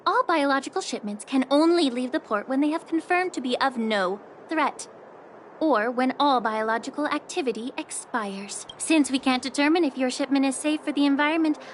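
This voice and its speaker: A woman playing a poised, slyly sarcastic head healer in a video game, speaking politely with cool, unhurried teasing. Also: high-pitched, slight background noise, close to an everyday voice. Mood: coolly official.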